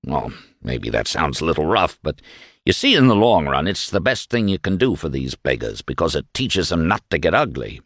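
A man's voice: extremely low pitch, sing-song, moderate pace, a bit bright, no echo, studio quality, heavily acted. A man voicing a crude, smug fascist militia boss in an audiobook, slangy, boastful and condescending.

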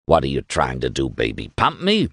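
Guy reading as a crude cocky fascist boss, talks slangy and down to you, very animated, dry audiobook. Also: a bass voice, moderate pace, slightly rough, no echo, pitched below the performer's own voice, full character voice. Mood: suspicious, cocky. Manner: slangy, boastful, condescending. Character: crude, smug, leering fascist militia boss.

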